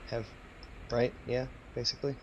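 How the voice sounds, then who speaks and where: A man's voice: medium pitch, flat delivery, normal speed, darkish tone, lo-fi sound, slight background noise. A man playing a tabletop game, talking casually and checking in with the table. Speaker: player.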